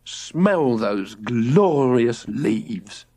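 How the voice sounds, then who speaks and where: A man's voice: medium pitch, lively intonation, drawn-out words, dark tone. A man voicing a pompous middle-aged father who speaks in a lofty, theatrical way about living back to nature. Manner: lofty, theatrical, self-important.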